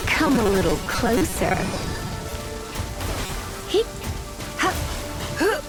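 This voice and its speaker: A woman's voice: on the high side, big pitch swings, very slow, long pauses, over music, exaggerated voice. A woman voicing a confident, playful young fighter who teases in a video game. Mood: teasing, confident.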